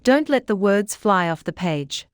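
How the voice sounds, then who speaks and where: Woman voicing a lively, playful talking elephant. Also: medium pitch, unhurried, a little hiss or hum, natural voice. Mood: playful, coaxing. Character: witty, playful talking elephant who gives reading tips. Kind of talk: scripted children's comedy dialogue.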